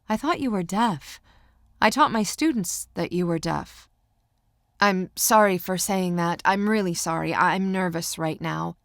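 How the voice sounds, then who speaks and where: A woman's voice: mid-range voice, no echo, clean studio sound, lightly acted. A woman voicing a flustered, gushing art teacher, nervous and apologetic, in an audiobook novel. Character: excited, flustered older female art teacher, apologetic fan.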